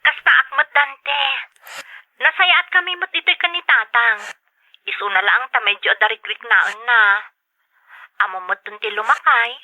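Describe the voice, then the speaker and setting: A woman's voice: fairly high pitch, normal speed, narrow, tinny audio. A woman playing an affectionate younger sweetheart of an absent man, in radio-drama style over a telephone filter. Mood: fond, confiding.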